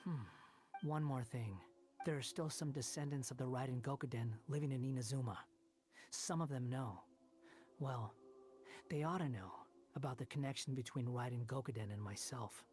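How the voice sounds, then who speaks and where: A man's voice: mid-range voice, normal speed, darkish tone, soft background music, natural voice. A man playing a brooding, sardonic young wanderer in a video game, curt and aloof. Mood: cool, resigned.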